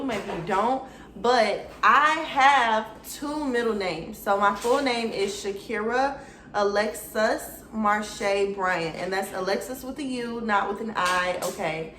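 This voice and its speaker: Young woman in her twenties, Atlanta accent, relaxed slangy vlogger talking to the camera, echoey room, faint hum behind. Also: on the high side, takes it slowly. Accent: Atlanta Southern US. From Atlanta, Georgia, USA. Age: 20s. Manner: chatty, slangy, addresses viewers directly. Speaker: YouTuber. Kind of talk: casual get-to-know-me Q&A.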